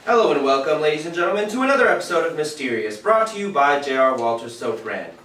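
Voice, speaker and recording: A man's voice: fairly high pitch, big pitch swings, fast talker, fairly bright tone. Man playing a showy, swooping radio announcer on stage. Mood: showy, welcoming. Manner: theatrical, grandly presentational. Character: smooth showy male 1940s-style radio show announcer. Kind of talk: old-time radio announcing on a theater stage. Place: indoor theater stage, picked up from afar. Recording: roomy distant stage recording, faint noise.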